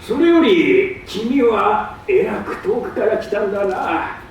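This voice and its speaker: A man playing a pompous, eager old geographer in a theatrical staged reading, with drawn-out, emphatic pronouncements. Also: high-pitched, animated, lo-fi sound, heavily acted. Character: pompous, eager old male geographer, self-styled scholar. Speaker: stage reader. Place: public hall stage. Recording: distant mic in echoey hall, faint noise.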